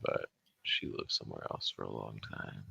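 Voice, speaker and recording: A man's voice: rumbling low, fairly even intonation, normal speed, gravelly, pushed and bright, slightly rough, dry recording. A man co-hosting a stream in offhand, sweary casual chat. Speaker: stream co-host.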